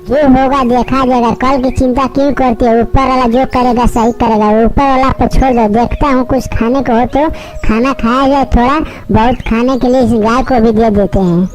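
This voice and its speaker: A woman's voice: on the high side, steady tone, normal speed, darkish tone, pitched below the performer's own voice, lightly acted. A woman voicing a kindly, poor male bird husband in a cartoon, speaking in a humble, earnest way. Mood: reassuring.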